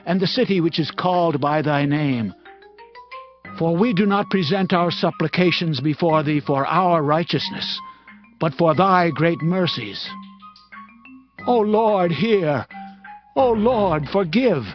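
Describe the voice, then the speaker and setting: A man's voice: a little high, slow. An actor playing a devout, humble prophet in a dramatized scripture reading, earnest and reverent as he declaims. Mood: pleading;. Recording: slightly dull sound, music underneath.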